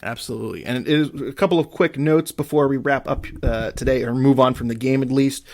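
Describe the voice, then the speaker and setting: A man's voice: mid-range voice, fairly quick, creaky, darkish tone. A man hosting a casual soccer podcast, chatting loosely with many filler words and self-corrections. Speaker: podcast host. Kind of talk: casual sports podcast chat.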